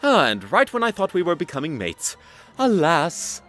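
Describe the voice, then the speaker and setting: Manic, teasing adventurer stallion, acted for a fan audio story: cocky, hugely varied pitch, light music underneath. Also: wildly swooping pitch, moderate pace, a bit bright. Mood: mock-hurt, breezy. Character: cocky, flippant, manic male alien-hunting adventurer stallion.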